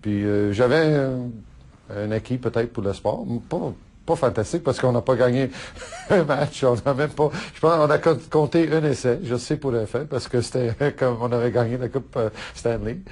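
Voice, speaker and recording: A middle-aged man whose first language is Italian, answering interview questions in a halting way with many fillers and restarts, laughing often. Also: medium pitch, a little mellow. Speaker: politician interviewee.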